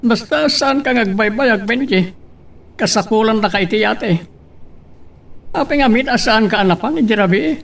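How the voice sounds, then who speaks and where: A man acting a bright, high-voiced commanding businessman. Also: lively intonation, moderate pace, gravelly, pauses often, heavily acted. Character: well-off businessman father, brisk and commanding. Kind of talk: Ilocano radio-style drama acting.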